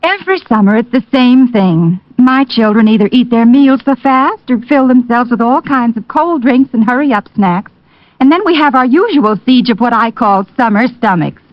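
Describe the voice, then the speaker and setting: A woman's voice: on the high side, lively intonation, normal speed, no room sound, close to an everyday voice. An actress playing a chatty mother in a 1950s radio commercial. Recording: dull dry old radio recording.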